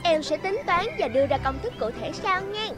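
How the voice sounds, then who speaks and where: An eager, clever small kid in a kids' cartoon. The voice is extremely high and brightly childlike in manner, explaining briskly over music. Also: female voice, animated. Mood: instructive.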